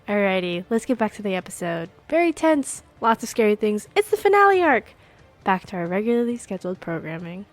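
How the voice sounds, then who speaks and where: A woman with an average-pitched voice hosts a podcast break. She delivers announcements in a casual, conversational style, with soft music underneath. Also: big pitch swings, brisk. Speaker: podcast host.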